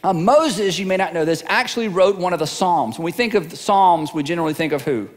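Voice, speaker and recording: A man's voice: high voice, fairly quick, long reverb tail. A middle-aged male pastor preaching a sermon in a casual, humorous way, often repeating words as he goes.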